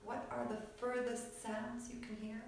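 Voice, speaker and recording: A woman teaching yoga nidra, guiding relaxation in a soothing, unhurried manner with short phrases and long pauses. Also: a little high, level tone, gravelly, noisy background. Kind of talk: guided relaxation meditation. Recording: very echoey room, distant mic, music behind. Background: music.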